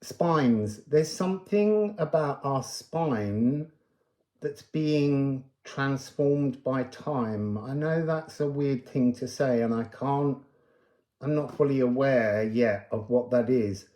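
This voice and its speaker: An androgynous-sounding card reader with a dark, mellow tone speaks slowly and casually. The delivery wanders and muses through a spiritual reading, leaning on certain words for emphasis. The room adds a clear echo around the voice. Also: mid-range voice. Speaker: tarot and oracle card reader. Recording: roomy, echoing sound.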